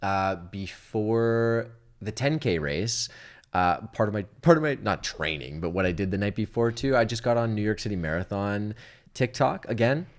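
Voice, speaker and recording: Low-voiced young man chatting. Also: expressive, normal speed. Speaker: podcast co-host. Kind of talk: casual podcast chat.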